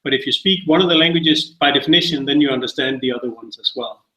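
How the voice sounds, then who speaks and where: Bright-voiced man in an echoey room. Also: medium pitch, fast talker. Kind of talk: casual panel talk. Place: small office meeting room. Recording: echoey room on shared mic.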